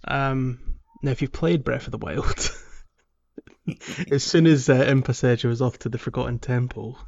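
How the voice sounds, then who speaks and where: A man co-hosting a podcast, chatting casually about a video game and often pausing to search for words. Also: mid-range voice, steady tone, gravelly, a little mellow, lo-fi sound. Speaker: podcast co-host.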